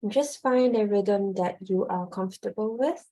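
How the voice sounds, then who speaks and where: A woman's voice: medium pitch, normal speed, warm tone, poor audio. A woman emcee moderating an online conference over a video call, polite and hesitant with many fillers.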